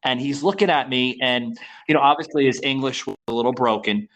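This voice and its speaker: Man, Florida co-host, halting, many restarts, "you know" fillers, mid pitch. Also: fairly bright tone, poor audio. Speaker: podcast co-host. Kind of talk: casual interview chat.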